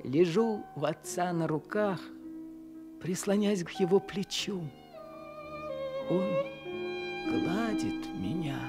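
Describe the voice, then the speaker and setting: A man's voice: high voice, expressive, slow, long pauses, over loud music. A young man narrating his hardship. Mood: weary. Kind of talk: radio drama narration and dialogue.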